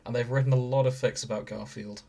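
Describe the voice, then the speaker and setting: A man's voice: mid-range voice, fairly even intonation, fast talker, vocal fry, dark tone. A man co-hosting a podcast in casual chat, joking in a dry way. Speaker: podcast co-host.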